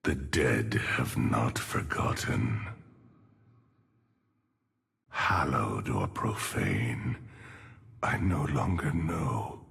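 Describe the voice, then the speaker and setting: Game villain-hero: grim, weary old gravedigger monk, husky gravel rasp, crawling solemn delivery, long echoing tail. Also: male voice, rumbling low, a bit bright, heavily acted. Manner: slow, solemn, brooding. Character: ancient weary male necromancer monk, grim, tormented. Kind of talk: video game character voice lines. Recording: long cavernous reverb.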